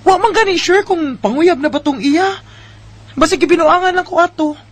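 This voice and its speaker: A woman's voice: very high-pitched, moderate pace, no room sound. A woman with a Filipino Bisaya accent playing a shy, hesitant young woman in an amateur radio drama. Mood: hesitant, protesting. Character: Mika, shy, hesitant young woman guarding her feelings. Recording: noisy homemade radio drama recording.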